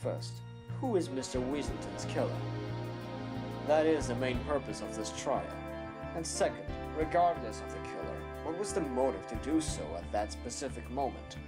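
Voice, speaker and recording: A man's voice: medium pitch, lively intonation, lightly acted. Pompous, stern courtroom prosecutor acted by a man over music. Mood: measured, smug. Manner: formal, pompous, deliberate phrasing. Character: stern, condescending male bird prosecutor, strict and theatrical. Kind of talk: courtroom audio drama acting. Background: prominent music.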